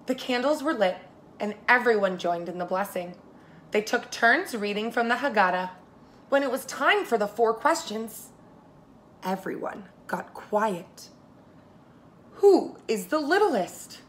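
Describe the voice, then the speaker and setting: A woman preschool teacher reading a story aloud to young children, expressive and speaking to them directly. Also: medium pitch, measured pace, slight background noise.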